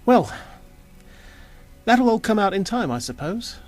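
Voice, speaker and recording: A man's voice: sits high, very animated, measured pace, voice pushed up. A man playing an earnest, flustered young time lord on the run, hesitant and rambling, in an audio drama. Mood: gently earnest. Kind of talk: audio drama character dialogue. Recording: music behind the voice.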